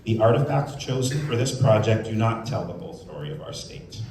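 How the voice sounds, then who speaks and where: A man giving opening remarks to the public as a museum staff speaker, speaking in an informal to measured way. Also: fairly low pitch, big pitch swings, darkish tone. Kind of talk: public speech, part off-the-cuff, part scripted. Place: indoors in a museum. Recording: very echoey room, distant mic, faint noise.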